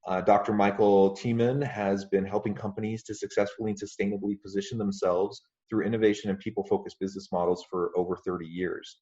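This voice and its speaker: A man hosting a podcast interview, speaking in a friendly, measured way with frequent fillers. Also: fairly low pitch, level tone, mellow, muffled recording. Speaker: podcast host.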